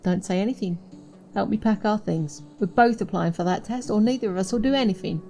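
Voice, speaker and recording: A woman's voice: medium pitch, brisk, mellow, natural voice. A woman acting a determined, protective, poor young woman in an audio drama. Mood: firm, insistent. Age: young adult.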